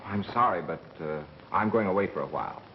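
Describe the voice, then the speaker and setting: An actor with an American accent playing a calm, methodical engineer turned sleuth in a 1930s film, measured and wry. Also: male voice, sits low. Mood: composed. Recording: muffled, hissy 1930s film soundtrack.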